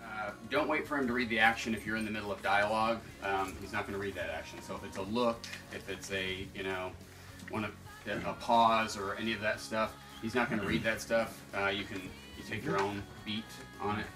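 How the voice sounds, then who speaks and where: A man's voice: on the low side, steady tone, a little fast. A man speaking casually as a screenwriter, explaining how he works. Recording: music under the voice. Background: music.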